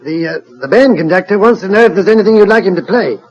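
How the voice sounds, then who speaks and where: A man's voice: sits high, expressive, fast talker, muffled recording. An actor playing a courteous, attentive male ship's steward, polite and deferential, in a 1940s radio drama. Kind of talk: 1940s British radio acting. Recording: old radio recording, music behind.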